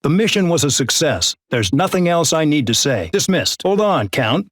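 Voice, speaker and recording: A man's voice: fairly high pitch, very animated, moderate pace, no room sound, clean studio sound. A man voicing an arrogant, bullying male air base colonel in a game, barking brusque, contemptuous orders. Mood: stern. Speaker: military commander. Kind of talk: game voice acting.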